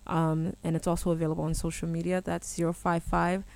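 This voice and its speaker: A woman's voice: fairly low pitch, little pitch movement, fast talker, gravelly, a little mellow. Deferential gospel singer woman. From born in Germany, Ghanaian-American. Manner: deferential, repeats "yes, sir" and "by God's grace". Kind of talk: polite interview answers.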